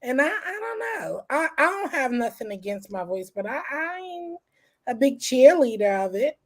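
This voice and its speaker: A woman calling into a casual live chat, with a voice that turns hoarse at times, handing out sassy advice. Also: high-pitched, very animated, moderate pace. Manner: sassy, advising, calls everyone girl. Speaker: guest caller.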